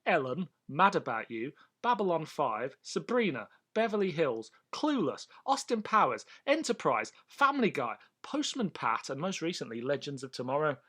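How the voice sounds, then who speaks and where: A man's voice: a little high, sing-song, normal speed, hardly any pauses, a bit bright. A man co-hosting a podcast, talking through a review in a casual, jokey, sweary way. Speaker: podcast host. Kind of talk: casual chat, review discussion.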